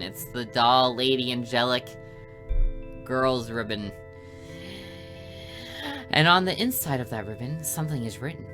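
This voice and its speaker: Woman lets player reading visual novel out loud, bright crisp-edged voice, faint game music. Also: sits low. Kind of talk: read-aloud narration with casual gaming commentary. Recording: music behind the voice.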